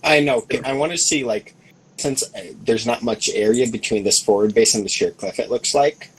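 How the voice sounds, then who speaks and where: A husky-voiced man chatting casually as a tabletop game player, tentative and thinking aloud. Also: mid-range voice, moderate pace, low-quality recording.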